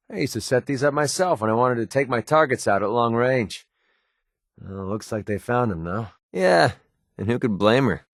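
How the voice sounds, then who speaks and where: An actor playing a sardonic young American mercenary sniper in a video game, with a casual, wisecracking delivery. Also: male voice, mid-range voice, no room sound, clean studio sound, close to an everyday voice. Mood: wry, wary. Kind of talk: video game companion voice lines.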